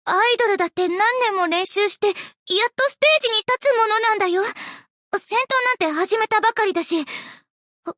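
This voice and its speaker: A woman playing an earnest, bubbly, easily flustered young female idol in an anime game, with a cute, expressive, girlish delivery. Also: extremely high pitch, fairly quick, no room sound, studio quality. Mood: flustered, unsure. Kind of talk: anime game character voice lines.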